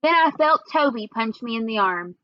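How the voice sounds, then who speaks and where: A woman's voice: on the high side, animated, normal speed, dry recording. A woman reading a children's book aloud as narrator, with a slightly muffled sound. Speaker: read-aloud reader.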